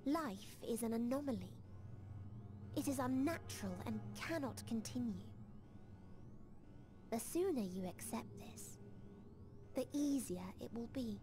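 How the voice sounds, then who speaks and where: A woman voicing a young, otherworldly nihilist being in a video game, soft, detached and solemn. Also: a little high, expressive, unhurried, long pauses. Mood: bleak, resigned. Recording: loud music behind voice.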